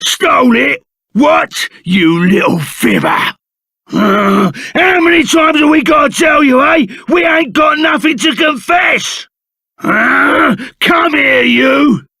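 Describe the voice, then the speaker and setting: An actor playing a gruff, loyal, blustering Cockney ex-bandit in a video game, full of rough, matey slang. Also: male voice, extremely high pitch, expressive, takes it slowly, bright, forceful tone, dry recording, shouting, heavily acted. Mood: indignant, blustering. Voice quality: gruff, gravelly. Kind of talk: video game character acting.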